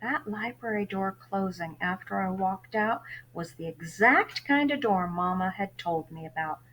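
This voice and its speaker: A woman's voice: medium pitch, unhurried, a bit bright, a little hiss or hum, natural voice, rough recording. Woman reading as a folksy, grumbling orphan boy. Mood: resolute. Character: plainspoken, exasperated young orphan boy narrating his own story. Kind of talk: first-person children's novel read-aloud.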